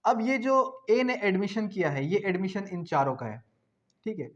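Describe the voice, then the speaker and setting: A man's voice: sits high, sing-song, normal speed, lo-fi sound. A man with a Pakistani accent giving a law lecture, explaining didactically with repetition, asking questions and answering them himself, and dictating definitions.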